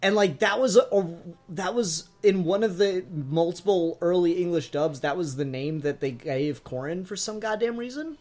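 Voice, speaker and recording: A man's voice: high voice, normal speed, hardly any pauses, a little mellow. A man co-hosting a podcast, chatting casually and enthusiastically as a fan reviewer, with plenty of swearing.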